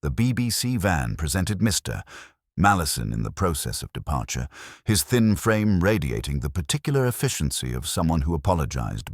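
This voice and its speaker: A man reading a scripted mystery story as a narrator, in a measured, literary manner. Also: a bass voice, no echo, clean studio sound. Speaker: audiobook narrator.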